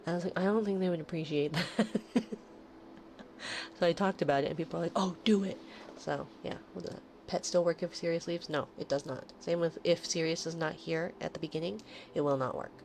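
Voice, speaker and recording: A woman with a nasal, lowish voice chats with her stream audience close to the mic, now and then dropping to a soft breathy whisper. A steady hiss sits under the recording. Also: fairly quick. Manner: soft, drifting into breathy whispers between chatty asides. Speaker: livestream host. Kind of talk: casual late-night stream chat with soft whispering. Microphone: streaming microphone close up.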